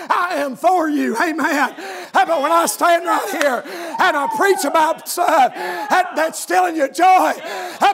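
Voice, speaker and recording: High-pitched Southern preacher man shouting his sermon. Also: creaky. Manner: exclamatory, punctuating phrases with amens and refrains; forceful, often shouting delivery. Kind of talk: fervent church sermon.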